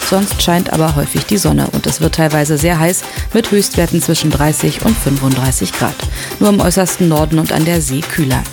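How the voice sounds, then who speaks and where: A woman reading radio news, sport and weather in a crisp, formal way. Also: low voice, a little fast, music in the background. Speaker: newsreader.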